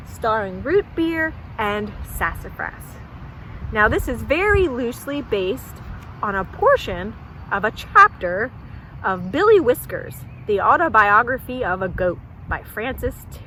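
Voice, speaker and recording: A woman telling a folktale at a children's storytime, pausing to ask young listeners questions. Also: fairly high pitch, sharp tone, a little hiss or hum, low-quality recording.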